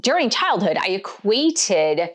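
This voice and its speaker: A middle-aged woman with a slightly hoarse voice, hosting her own author vlog and speaking candidly and reflectively about her past relationships. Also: medium pitch, big pitch swings, takes it slowly. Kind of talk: personal vlog talk to camera.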